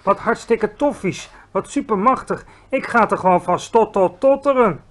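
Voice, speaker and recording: A man as an excitable, high-voiced gentle giant. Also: normal speed. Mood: beaming, delighted. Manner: earnest, mangled grammar, stumbles over words. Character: kindly, timid, proud, excitable gentle male giant.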